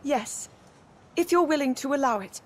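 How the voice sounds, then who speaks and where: A woman playing an earnest, gentle and resolute young princess in a video game. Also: sits high, animated, normal speed. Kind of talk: video game cutscene voice acting. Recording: music behind the voice.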